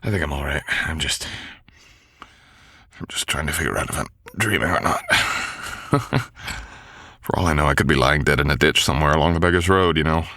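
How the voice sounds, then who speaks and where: A man's voice: a bass voice, moderate pace, pauses often, sharp tone, dry recording, studio quality, exaggerated voice. A man voicing a young male knight, wounded, gallant and protective, speaking in an old-fashioned courtly way. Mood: teasing. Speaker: voice actor. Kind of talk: boyfriend ASMR audio drama.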